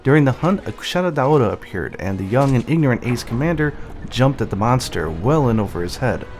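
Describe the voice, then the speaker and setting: A man's voice: medium pitch, big pitch swings, a little fast, darkish tone. A man giving light scripted narration over game cutscenes, explaining the story as he goes. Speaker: narrator and channel host. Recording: music behind the voice. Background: game music.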